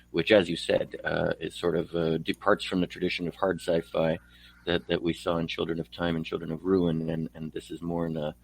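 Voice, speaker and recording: A man from Poland interviewing a guest on a book podcast in a conversational, somewhat halting way. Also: sits low, gravelly, poor audio.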